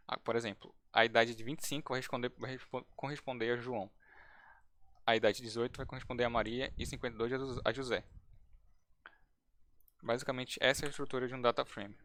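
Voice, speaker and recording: Brief: male instructor, relaxed and chatty, restarts phrases while demonstrating code, typing heard behind. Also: mid-range voice, brisk. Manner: casual, thinking aloud with restarts. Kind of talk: live-streamed coding lesson.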